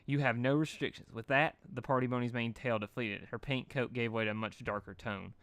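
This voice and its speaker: A man narrates fan fiction in storybook style. The voice has a medium pitch, and the recording is dry with little room sound. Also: steady tone, fairly quick, fairly bright tone, dry recording.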